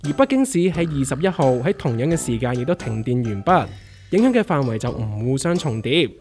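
A man's voice: mid-range voice, big pitch swings, fairly quick, darkish tone, soft background music. A man playing a level-headed, wry character who reports news emphatically in a comedic radio drama, with a Hong Kong Cantonese accent. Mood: dramatic reporting. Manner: emphatic, wry newsreader-like delivery.

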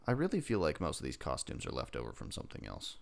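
Deep, gravelly man chatting casually. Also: huge pitch swings, fast talker. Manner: teasing, jokey. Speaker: podcast co-host. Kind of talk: casual TV episode review chat.

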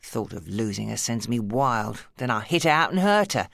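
A woman's voice: rumbling low, sing-song, moderate pace, gravelly, a bit bright, no echo, clean studio sound, full character voice. A woman voicing a smug, bullying male club boss in an audiobook, sneering and contemptuous. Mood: self-pitying, contemptuous. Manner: sneering, contemptuous, hissing when angered. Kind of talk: audiobook character acting.

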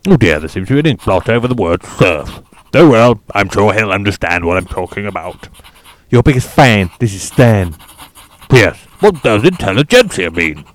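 A man's voice: fairly low pitch, sing-song, moderate pace, no room sound, pitched below the performer's own voice. A man doing an exasperated theatre director. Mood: gracious.

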